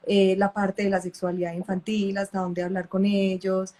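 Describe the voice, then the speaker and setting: A woman's voice: mid-range voice, flat delivery, moderate pace, mellow. A woman, a mother who works with families, hosting an online live interview in a conversational way. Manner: conversational, acts out parents' questions. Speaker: host working with families.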